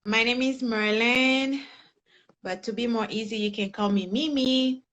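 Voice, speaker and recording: Haitian-accented woman, higher voice. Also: fairly even intonation, measured pace, a bit bright, dull audio. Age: young adult. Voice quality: non-native English grammar, earnest. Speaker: guest, personal development coach. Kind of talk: conversational interview.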